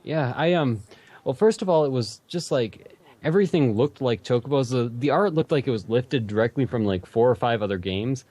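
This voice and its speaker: A man's voice: mid-range voice, animated, normal speed, a little mellow, no echo. A man from Canada with a slight Canadian accent, hosting a casual gaming podcast in a blunt, profane way. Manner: blunt, swears a lot, laughs between lines.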